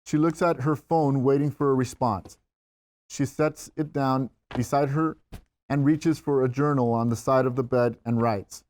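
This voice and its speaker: A man's voice: medium pitch, fairly even intonation, a little slow, darkish tone, dry recording. A man reading scripted stage directions as the narrator at a table read.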